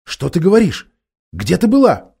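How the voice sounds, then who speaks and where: A man's voice: high voice, wildly swooping pitch, moderate pace, creaky, warm tone, husky, pitched above the performer's own voice, heavily acted. A man voicing a proud, stern warrior king in a children's fantasy story, declaiming in a commanding manner. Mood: startled. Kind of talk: children's fantasy audiobook character.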